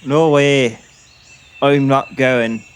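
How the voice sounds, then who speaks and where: A man's voice: mid-range voice, steady tone, very slow, clean tone. A man voicing a fearful, theatrical talking dog in a comedic audio drama, full of pompous protest. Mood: frightened, defiant.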